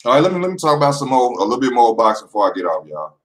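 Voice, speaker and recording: A man's voice: mid-range voice, very animated, rapid-fire. A man in his twenties hosting a casual vlog monologue, talking loosely and slangily straight to his viewers. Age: 20s. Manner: loose, rambling and slangy, addressing viewers directly. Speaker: boxing channel host. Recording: echoey room.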